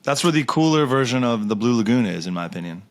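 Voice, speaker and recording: A man's voice: mid-range voice, expressive, fast, dry recording. A man co-hosting a podcast in a laid-back, joking way, using casual slang. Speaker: podcast co-host. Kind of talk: casual chat.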